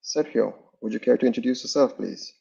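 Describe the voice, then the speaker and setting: A man's voice: medium pitch, brisk, vocal fry, mellow, husky. A man hosting an online training over a video call, formally making announcements and introducing the team. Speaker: training host. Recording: dull lo-fi video call audio.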